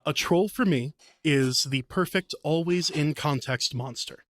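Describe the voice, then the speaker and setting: A man's voice: a little high, a little slow, a bit bright. A man talking casually as a podcast guest, explaining things in an enthusiastic, talkative way. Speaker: podcast guest, veteran game master.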